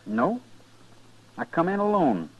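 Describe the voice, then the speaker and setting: An actor playing a proud, sullen sixteen-year-old cowhand with curt, rustic speech in a 1950s radio western. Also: male voice, fairly high pitch, sing-song, takes it slowly, husky, full character voice. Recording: muffled old radio recording.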